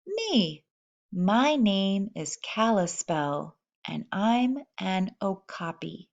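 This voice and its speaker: Woman as a playful, unhurried storybook okapi. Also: mid-range voice, very animated, creaky, highs cut off, full character voice. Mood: playful, proud. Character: playful, proud young okapi posing a riddle.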